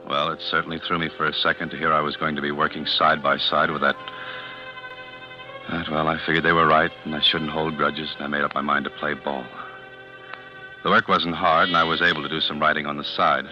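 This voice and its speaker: An actor playing a bitter, brooding ex-convict in 1940s radio drama style. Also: male voice, extremely low pitch, flat delivery, fairly quick, over music. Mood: brooding, confiding. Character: bitter, brooding male ex-convict turned clerk. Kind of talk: 1940s radio drama acting, confiding first-person narration. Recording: muffled old radio recording.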